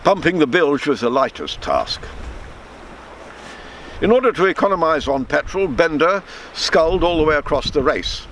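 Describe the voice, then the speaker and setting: A man reading his own written story aloud in a measured storytelling manner, with small stumbles and self-corrections. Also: a little high, sing-song, fairly bright tone, slight background noise.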